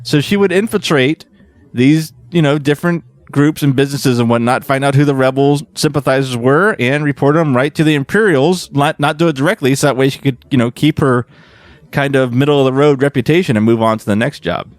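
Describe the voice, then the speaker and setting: A man's voice: medium pitch, fairly quick, dry recording. A man hosting a podcast, explaining his research in casual, informal chat with a bit of swearing. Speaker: podcast host presenting research.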